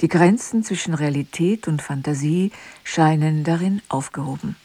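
A woman's voice: low voice, moderate pace, warm tone, slightly rough. A woman reading a scripted broadcast introduction to a radio play in a composed, formal manner.

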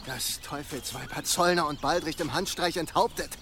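An actor in a German audio drama playing an outspoken medieval soldier, urgent and emphatic. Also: male voice, fairly high pitch, moderate pace, heavy background noise, lightly acted. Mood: alarmed reporting.

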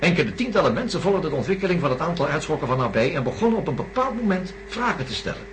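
Earnest doctor explaining his theory, very lively pitch, noisy recording. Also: rapid-fire. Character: patient, reasoning male doctor explaining a theory. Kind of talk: radio drama acting. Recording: noisy radio play recording.

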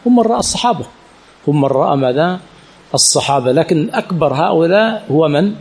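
A man's voice: a little high, expressive, quick pace, a little mellow, husky. A man teaching a lesson in a religious teaching center, often quizzing his students with rhetorical questions and repeating key words. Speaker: sheikh teaching hadith chain studies. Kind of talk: classroom lesson with Q&A.

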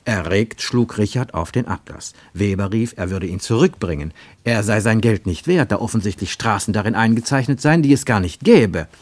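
A man with pronunciation reading literary prose for radio, measured and deliberate, with emphatic phrasing and pauses. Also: fairly low pitch, a little mellow, dry recording. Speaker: narrator, reader of literary prose. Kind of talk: scripted literary narration for German radio.

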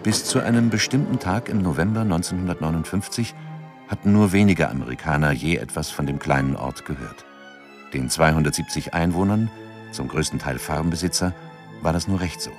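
A man narrating a radio drama in a measured, sober, scripted documentary style. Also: rumbling low, over music.

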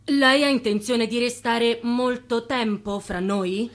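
Practical, dryly reserved nurse. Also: female voice, fairly high pitch, fairly even intonation, takes it slowly. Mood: dry, guarded. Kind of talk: radio play acting.

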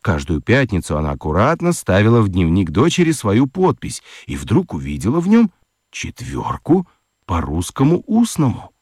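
A man narrating a children's radio play with expressive storytelling. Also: on the low side, normal speed, slightly rough, no room sound, clean studio sound.

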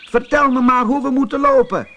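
A man voicing a bold, greedy village boy who talks in a forceful, taunting way in a children's fairy tale. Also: extremely high pitch, steady tone, a little fast, muffled recording, pitched above the performer's own voice, full character voice. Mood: commanding.

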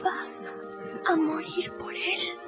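Slow, high-pitched emotional young woman. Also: little pitch movement. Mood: tender, fearful. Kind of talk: Spanish-language radio drama acting. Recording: dull radio recording with music behind.

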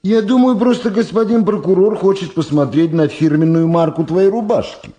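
Man playing a sly, mellow-voiced old political boss. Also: high voice, highs cut off, lightly acted. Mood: mocking, controlling. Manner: unhurried, repeats words, falsely friendly. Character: sly old political power broker, mockingly affable. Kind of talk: 1970s Soviet radio drama acting.

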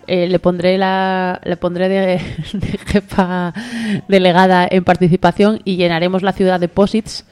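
A woman's voice: on the low side, flat delivery, a little slow, hardly any pauses, darkish tone, smooth. A woman from Spain with a Spanish accent, co-hosting a radio show in casual, joking, colloquial banter. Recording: music behind the talk.

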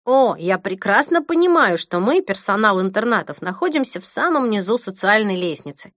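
A woman's voice: medium pitch, sing-song, moderate pace, fairly bright tone, no room sound. Wry teasing young woman, as a woman reader puts her on, blunt, storybook, dry close sound. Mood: candid. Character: wry joking young woman, care-home worker.

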